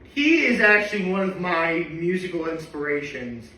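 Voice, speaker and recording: Chatty bright-voiced tenor man. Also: on the high side, sing-song, normal speed, echoey room, far from the mic, rough recording. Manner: chatty and rambling, with many asides. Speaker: daily vlogger. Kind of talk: casual reaction vlog monologue.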